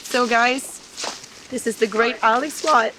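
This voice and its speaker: A woman's voice: a little high, steady tone, a little slow, sharp tone. A woman playing a curious, friendly field operative, chatting casually in a found-footage horror drama. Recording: noisy camcorder-style found footage.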